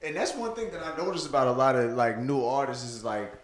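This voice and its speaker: A man's voice: medium pitch, fast, a little hiss or hum. Slangy man co-hosting a podcast. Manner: slangy, conversational, repeats phrases for emphasis. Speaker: podcast co-host. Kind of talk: casual podcast chat.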